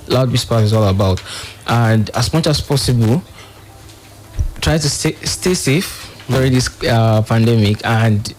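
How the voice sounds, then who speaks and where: A man with a Nigerian accent giving unscripted, formal advice to listeners as a guest, in a halting way. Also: medium pitch, a little mellow. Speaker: studio guest, NGO officer.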